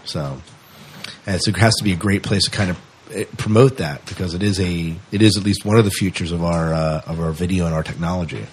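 A man's voice: low voice, a little fast, slight background noise. A man hosting a podcast in casual interview chat, informal and hesitant, often repeating and rephrasing himself. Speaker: podcast host.

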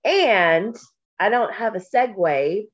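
A woman from Kentucky co-hosting a podcast, talking slowly and reflectively, with a stuffy nose from allergies. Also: mid-range voice, lively intonation, lo-fi sound. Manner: slow, warm, reflective, with many pauses and fillers. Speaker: podcast co-host. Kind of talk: casual podcast chat.

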